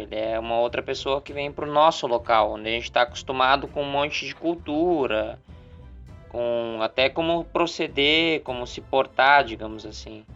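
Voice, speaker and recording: A man's voice: mid-range voice, fairly bright tone. A man from southern Brazil co-hosting a casual podcast, talking in long rambling stretches full of restarts. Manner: rambling, many restarts and fillers. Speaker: podcast co-host. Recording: slightly dull podcast audio with faint music.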